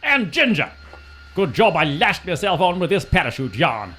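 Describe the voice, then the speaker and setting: A man's voice: a little high, sing-song, moderate pace, bright, forceful tone, a little hiss or hum. A man in an amateur comic radio play voicing a plummy, stiff-upper-lip British flying ace with clipped upper-class bluster. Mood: determined.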